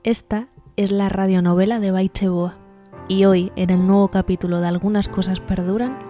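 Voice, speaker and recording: A woman's voice: medium pitch, flat delivery, moderate pace, mellow, faint music behind. A woman with an accent from Spain narrating a scripted podcast as host and art therapist. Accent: Spanish from Spain.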